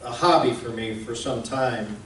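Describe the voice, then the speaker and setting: A man's voice: medium pitch, normal speed, a bit bright. Man giving a talk in a roomy space. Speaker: presenter, radio station founder. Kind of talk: informal public presentation. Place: indoor museum event room. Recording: roomy, distant mic, clear background noise.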